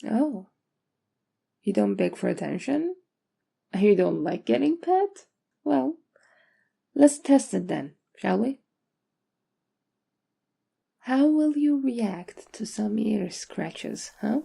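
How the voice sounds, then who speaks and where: A woman performs a possessive female owner in an ASMR roleplay, coaxing and teasing a captive pet. Her voice is very dark and mellow, with heavy creak, and often drops toward a whisper. She takes her time, sounding condescending and doting at once. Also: on the high side, very animated, slow pace, long pauses, full character voice.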